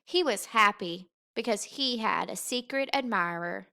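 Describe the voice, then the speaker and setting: Bright-voiced woman reading a storybook slowly. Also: medium pitch, gravelly. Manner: unhurried, expressive, deliberate phrasing for young listeners. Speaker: teacher reading a picture book aloud. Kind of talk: expressive children's read-aloud storytelling.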